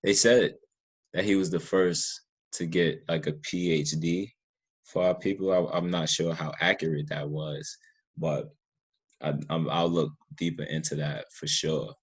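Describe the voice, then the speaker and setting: A man's voice: rumbling low, fairly even intonation, measured pace, breathy edge. A man hosting a casual interview, talking in an earnest, informal way and often repeating himself. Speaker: podcast host interviewing a guest.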